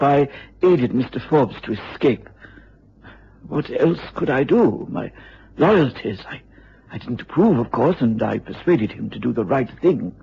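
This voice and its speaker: A deferential butler in a radio drama. His mid-pitched voice is very rough and husky, and he pleads hesitantly and respectfully. The sound is somewhat dull. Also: full character voice. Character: loyal, deferential male butler, anxious and apologetic.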